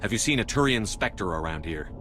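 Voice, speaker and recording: A man playing a steady military commander in a video game, terse and direct in delivery. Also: lowish voice, brisk, background noise, close to an everyday voice. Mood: businesslike.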